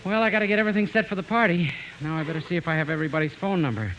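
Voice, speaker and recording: An actor playing a polite, naive young son in 1950s radio comedy style. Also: male voice, a little high, very fast, lo-fi sound, very noisy, lightly acted. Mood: earnest. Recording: old radio broadcast recording with music.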